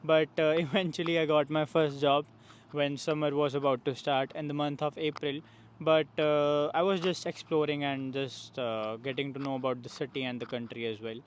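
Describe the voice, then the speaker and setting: A man's voice: fairly high pitch, little pitch movement, moderate pace, a little hiss or hum, poor audio. A young man hosting a casual talk with a fellow student, friendly and asking questions, sometimes repeating himself. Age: young adult. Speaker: host, international student. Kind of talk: casual conversation. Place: outdoors in a city, traffic nearby.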